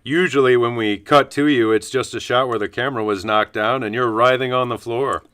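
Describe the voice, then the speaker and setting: A man puts on a chipper news anchor for a parody newscast. His medium-pitched voice is bright and forceful, with a polished, upbeat broadcast flow. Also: fairly even intonation, brisk, smooth, close to an everyday voice.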